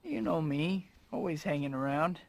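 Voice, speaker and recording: An actor playing a young, put-upon but earnest superhero in a video game, with a wry, quipping delivery. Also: male voice, fairly high pitch, animated, moderate pace, gravelly, no room sound.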